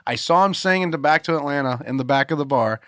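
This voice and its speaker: A man, a singer-songwriter guest, telling animated stories in casual podcast chat with lots of fillers and laughter. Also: on the high side, fast talker, no room sound.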